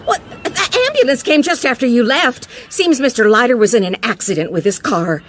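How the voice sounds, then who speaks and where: A woman's voice: fairly high pitch, expressive, normal speed. A woman playing a gossipy, solicitous, fussy lady in a radio drama. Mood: fussing, pitying.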